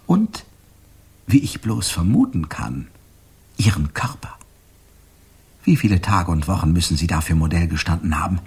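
Husky, brooding man haunted by his memories. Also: sits low, sing-song, moderate pace, long pauses, a little mellow, heavily acted. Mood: haunted recollection. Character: brooding jealous man haltingly recalling a drunken night. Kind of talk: German radio crime drama acting.

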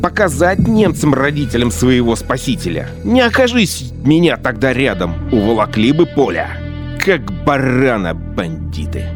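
A man's voice: mid-range voice, very animated, moderate pace, close to an everyday voice. A man playing a drunken, brutal collaborator commander in a radio play, speaking with a swaggering, mocking manner, music playing under him. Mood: boastful. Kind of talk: radio drama acting.

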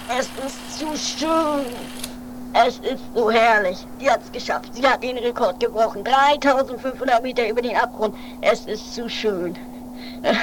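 A woman's voice: a little high, lightly acted. A woman playing a nervous, devoted companion of a daredevil in an improvised comic radio play, muttering dramatically and repeating words. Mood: elated. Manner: dramatic, repetitive, agitated muttering. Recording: noisy home-made 1980s radio play recording.

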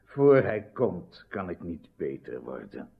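An actor playing a solemn, ailing old king in a radio drama, speaking gravely and haltingly. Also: sits low, big pitch swings, heavily acted. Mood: weary, longing. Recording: dull lo-fi old radio recording.

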